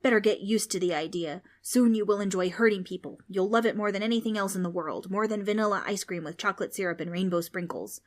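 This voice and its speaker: A woman reading aloud, voicing a loud, scornful male ghost who mocks children. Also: medium pitch, fast, few pauses, lightly acted. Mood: mocking. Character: bossy male ghost, gruff and mocking.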